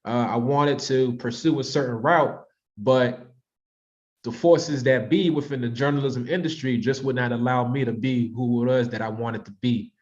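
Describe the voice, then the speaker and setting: A man's voice: medium pitch, steady tone, moderate pace, a little mellow. An American man in his thirties giving an informal, conversational and reflective presentation to an online audience. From Washington, D.C., United States. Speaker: author and presenter hosting a virtual book club. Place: online video call. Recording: slightly dull, lo-fi online call audio.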